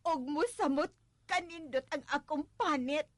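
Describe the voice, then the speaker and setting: Carefree stubborn wife, very shrill pitch, Visayan Filipino accent, dramatic whining, close dry radio-play sound. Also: female voice, measured pace, dry recording. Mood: whiny, dismissive. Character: whiny, stubborn, carefree young wife dodging debts.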